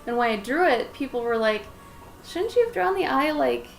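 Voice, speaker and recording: A young woman with a somewhat raspy voice, chatting casually while she draws on a livestream and talks to her dog and to viewers. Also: sits high, expressive, normal speed, faint music behind, rough recording. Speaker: livestreaming pet portrait artist. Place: home art studio with plastic bins.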